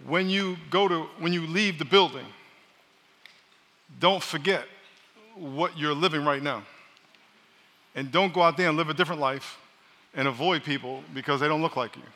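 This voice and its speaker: A pastor preaching a sermon, loud and joking, calling on his congregation to answer back. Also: on the high side. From New York. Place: large church hall. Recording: live sermon in a reverberant hall.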